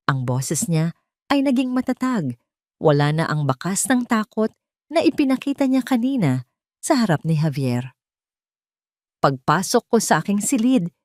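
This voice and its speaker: A woman with a Filipino accent narrating a dramatic scripted story in the first person, solemn and deliberate. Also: on the low side, darkish tone, dry recording, clean studio sound.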